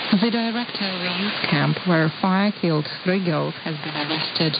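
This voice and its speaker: A woman newsreader delivering a bulletin in a measured, formal manner. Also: on the low side. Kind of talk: read news bulletin. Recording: hissy lo-fi shortwave radio.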